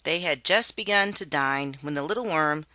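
A storybook reader giving an expressive read-aloud narration for children. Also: medium pitch, moderate pace, no echo.

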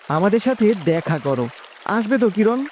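A man's voice: sits high, very animated, a little fast, darkish tone, a little hiss or hum. A man voicing an earnest, affectionate young prince in a fairy-tale cartoon, speaking tenderly. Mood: gently pleading. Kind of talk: cartoon voice acting. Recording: clear music bed behind.

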